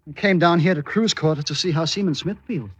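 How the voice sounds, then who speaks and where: High-voiced, earnest teenage cabin boy. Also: quick pace, a bit bright, slightly rough. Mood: anxious, apologetic. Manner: polite, earnest, pleading. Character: cherub-faced teenage cabin boy, meek yet coldly cunning. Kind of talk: old-time radio drama acting.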